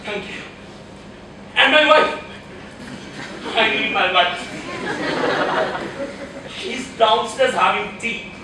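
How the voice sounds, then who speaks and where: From far off in a noisy, echoing auditorium comes a young male actor as a frantic, short-tempered husband. His very high voice, and he rants slowly and melodramatically, full of exasperated self-pity. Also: a crowd in the background. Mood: pained, desperate. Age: young adult. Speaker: student stage actor. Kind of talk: live theatrical stage acting. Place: auditorium stage.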